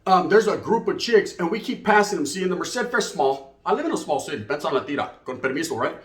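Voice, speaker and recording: A man with a fairly high voice and a Chicano Californian accent, recorded in an echoey room. He tells a comic story casually, full of street slang and bits of Spanish. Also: animated, fast talker. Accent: Chicano Californian American. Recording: roomy, echoey sound.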